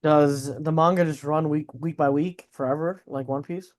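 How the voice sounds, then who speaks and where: A man's voice: a little high, fairly even intonation, normal speed, darkish tone. A man co-hosting a podcast, reacting to news in a casual, slangy way with mild swearing. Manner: slangy, informal, swears mildly. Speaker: podcast co-host.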